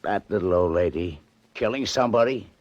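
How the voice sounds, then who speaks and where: Gruff deep-voiced radio cop. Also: male voice, animated, fairly quick, a little mellow, dry recording. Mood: baffled. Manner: gruff, slangy, tough. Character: gruff, exasperated male police detective. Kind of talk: 1940s radio drama acting. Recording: dull 1940s radio recording.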